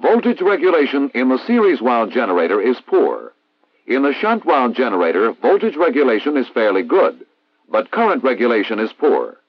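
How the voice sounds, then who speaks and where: An American man reading a scripted instructional narration in a formal, measured, didactic way, as in a 1960s military training film. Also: mid-range voice, big pitch swings, no echo. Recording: dull vintage 1960s film soundtrack.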